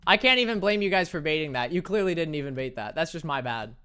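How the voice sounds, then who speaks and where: A young man whose voice sits light and high, talking casually to his viewers over a desk mic. He jokes at his own expense and laughs easily between comments. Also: lively intonation, fast, bright, forceful tone, clear, resonant tone. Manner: playful, self-mocking, laughs often. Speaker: streamer. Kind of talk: casual chat reading viewer messages while gaming.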